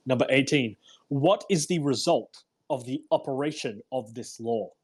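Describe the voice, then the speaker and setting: Husky-voiced man reading aloud. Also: medium pitch, moderate pace. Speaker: host reading a self-help book aloud. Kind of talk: read-aloud audiobook with informal commentary. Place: live online audio room broadcast.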